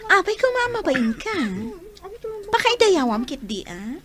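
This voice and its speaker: A woman's voice: very high voice, big pitch swings, normal speed, a crowd in the background. A woman playing a catty, scheming teenage schoolgirl in an audio drama, with a sassy, theatrical delivery. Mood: sassy, teasing.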